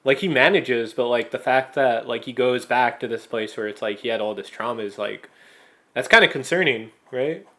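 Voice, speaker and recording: A man hosting a podcast in casual fan chat, thinking aloud haltingly and saying "like" often. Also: medium pitch, bright, forceful tone. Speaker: podcast host.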